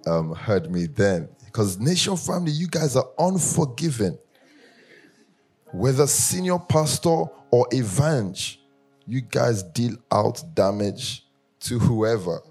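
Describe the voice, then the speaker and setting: A man from Nigeria preaching a sermon, telling humorous personal stories in a lively way that rises into sing-song emphasis. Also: on the low side, slow pace, breathy edge, faint music behind. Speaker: pastor.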